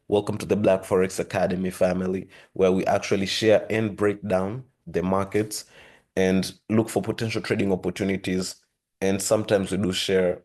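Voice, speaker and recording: A man hosting a trading lesson, talking steadily through charts with a hoarse voice and frequent throat-clearing. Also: deep voice. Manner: steady explanatory, repeats "with that being said". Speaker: forex trading channel host. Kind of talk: screen-share trading lesson.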